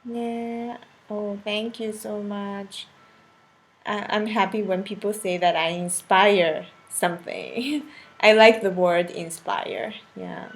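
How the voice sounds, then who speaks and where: A woman's voice: mid-range voice, steady tone, slow. A woman in her thirties from Japan with a Japanese accent, chatting casually with viewers on a live stream, full of "you know" fillers and laughing often. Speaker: cooking channel host on a live stream.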